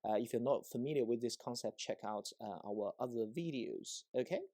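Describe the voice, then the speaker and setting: A man's voice: mid-range voice, normal speed, dark tone. Man explaining a technical demonstration. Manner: explanatory, frequent check-in tags like "right?" and "okay?". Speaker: presenter, EMC engineer.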